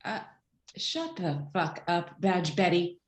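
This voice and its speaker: A woman's voice: lowish voice, sing-song, slow pace. A woman playing a brash, blurting, foul-mouthed businesswoman, heard in a roomy space over a video call. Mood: indignant. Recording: echoey, distant room on video call.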